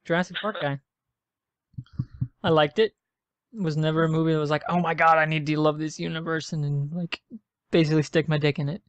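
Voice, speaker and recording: A man's voice: a little high, steady tone, fairly quick, pauses often, dry recording. Blunt, sweary man on a lo-fi mic. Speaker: podcast co-host. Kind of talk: casual podcast chat. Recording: dull lo-fi dry sound.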